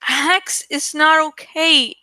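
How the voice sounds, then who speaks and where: Woman as a sharp-tongued, high-voiced anxious teenage boy. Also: steady tone, takes it slowly, dry recording, full character voice. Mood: tense.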